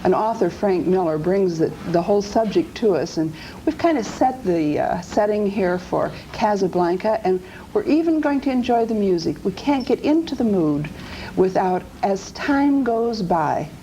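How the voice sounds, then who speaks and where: A woman's voice: mid-range voice, expressive, moderate pace, warm tone, slight background noise, rough recording. A woman hosting a talk show, introducing the topic and guests in a friendly, conversational way with small restarts.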